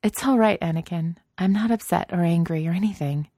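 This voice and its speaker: A calm, loving young woman speaks softly and a touch higher than the narration around her, read by a woman. The voice stays mellow and low, recorded close and dry with no room sound. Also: brisk, dry recording, natural voice. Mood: gently reassuring.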